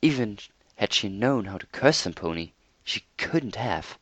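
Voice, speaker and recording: A man as a calm, regal pony princess. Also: lowish voice, no echo, muffled recording. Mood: grave. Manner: formal, measured speech.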